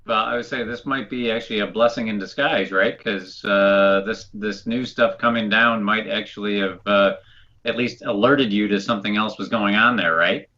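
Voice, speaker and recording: Male co-host, lowish pitch but forward and bright, nasal and stuffed-up, chatting casually. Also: fairly even intonation, normal speed. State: congested. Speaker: talk show co-host. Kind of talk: casual chat. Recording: dull, lo-fi sound.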